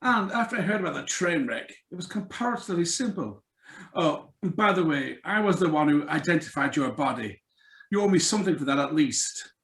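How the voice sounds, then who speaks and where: A man's voice: on the high side, expressive, fairly quick, fairly bright tone. Echoey, sardonic male blackmailer played by an actor. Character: cynical, sardonic male blackmailer, menacingly polite ex-husband. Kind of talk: 1940s-style radio suspense acting. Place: remote live reading over video call. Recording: roomy echo over video call.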